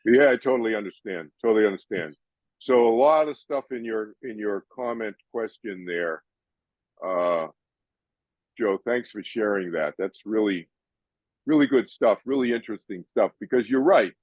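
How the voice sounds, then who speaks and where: An older man from Massachusetts hosting an audience Q&A over a video call, chatty and animated, often restarting his sentences. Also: on the low side, normal speed, dry recording, lo-fi sound. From Massachusetts, USA. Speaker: host answering audience questions.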